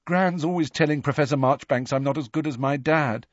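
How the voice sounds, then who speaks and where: A man voicing a meek, downcast, unconfident teenage schoolboy who speaks quietly in an audiobook. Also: fairly high pitch, fairly quick, dry recording, highs cut off, voice pushed up, close to an everyday voice. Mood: subdued, miserable. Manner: quiet, subdued.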